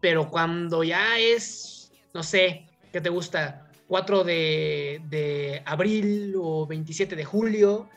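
A woman with a low voice and a Mexican accent speaks as a talk host over faint music. She shares her opinions informally, in a discursive way, often turning to check that her listener agrees. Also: takes it slowly, hardly any pauses.

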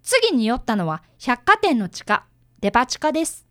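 Radio drama boy detective, about ten, played by a woman, earnest and precise, lively pitch, dry studio sound. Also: moderate pace, no echo. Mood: narrating. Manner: polite, precise, boyish. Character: earnest, bright fifth-grade boy, apprentice detective. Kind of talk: radio drama dialogue and first-person narration.

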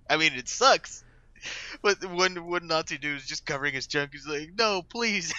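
Giggly podcast co-host with a sharp, bright voice, close and dry. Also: male voice, on the high side, no room sound, muffled recording. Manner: laughs often, swears, gushes. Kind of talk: casual movie review chat.

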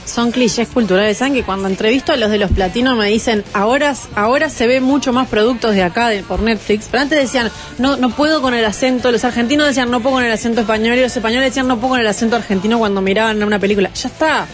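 Brisk chatty woman host. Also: fairly high pitch, soft background music. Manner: chatty, tells anecdotes. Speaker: radio co-host. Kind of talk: casual radio panel chat.

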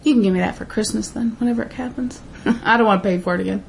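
A woman with a raspy voice co-hosting a casual podcast, chatting and giving reflective life advice. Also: mid-range voice, very fast, slight background noise. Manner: chatty, reflective, laughs easily. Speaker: podcast co-host. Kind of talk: casual chat and advice segment.

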